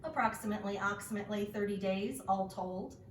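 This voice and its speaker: A woman's voice: medium pitch, fairly quick, echoey room, distant mic, slight background noise, lightly acted. A woman voicing a chatty, unbothered female goose in a storybook, breezy and matter-of-fact in manner. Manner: stutters by repeating word endings, breezy.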